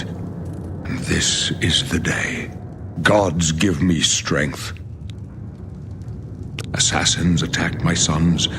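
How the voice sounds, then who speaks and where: A man's voice: extremely low pitch, noisy background, exaggerated voice. An older man playing a weary, solemn old emperor in a fantasy video game, speaking in a grave, prophetic manner. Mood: awed. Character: weary, solemn old male emperor facing his death.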